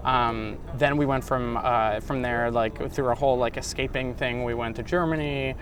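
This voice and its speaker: A man's voice: mid-range voice, steady tone, normal speed, a bit bright, a little hiss or hum. Casual Canadian-raised founder man. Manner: casual, many fillers and likes. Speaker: founder and CEO guest. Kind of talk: casual storytelling interview. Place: conference venue.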